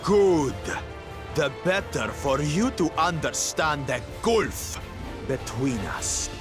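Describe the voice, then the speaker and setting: An actor playing a menacing, mocking brute villain in a video game, taunting with drawn-out cruel relish. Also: male voice, on the high side, lively intonation, music in the background. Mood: gloating menace.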